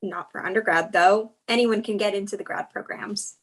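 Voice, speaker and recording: A woman's voice: medium pitch, steady tone, moderate pace, close to an everyday voice. A woman playing a hesitant, loyal young girlfriend in a comedy script table read. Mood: defensive.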